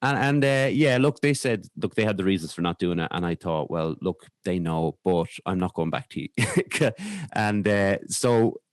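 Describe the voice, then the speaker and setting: Irish guy on a podcast, low voice, kinda halting, chats openly, dry close sound. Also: expressive, no room sound. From Ireland. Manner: hesitant, halting, repeats words mid-sentence. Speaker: podcast guest. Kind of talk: candid conversational interview.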